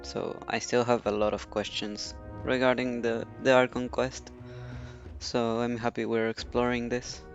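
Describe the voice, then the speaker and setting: A man's voice: mid-range voice, level tone, a little slow, vocal fry, dull audio. A man giving casual, musing commentary as a game streamer, hesitant as he thinks aloud. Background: soft game music.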